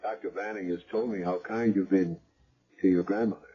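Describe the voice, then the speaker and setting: Deep, husky-voiced calm chaplain. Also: male voice, fairly quick. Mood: calm, kindly. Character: male hospital chaplain, calm, probing, kindly investigator. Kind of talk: 1970s radio drama acting. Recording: dull vintage radio recording.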